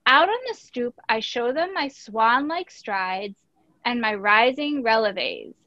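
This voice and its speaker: A woman's voice: on the high side, sing-song, takes it slowly, bright, forceful tone. A woman reading a picture book aloud as a teacher, expressively, with instructive asides. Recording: echoey room, dull lo-fi audio.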